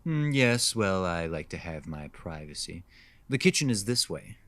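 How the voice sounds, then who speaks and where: A man's voice: sits low, very animated, moderate pace, fairly bright tone, no echo, heavily acted. A man playing a condescending, sexist wealthy homeowner with a haughty, insinuating manner in an audio drama. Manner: hesitant fillers, haughty, insinuating.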